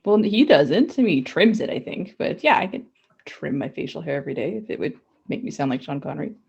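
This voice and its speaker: A woman's voice: sits low, animated, fairly quick, creaky, a little mellow, breathy edge, highs cut off. A woman co-hosting a casual podcast, chatty and rambling with frequent laughs. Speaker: podcast co-host. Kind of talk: casual banter.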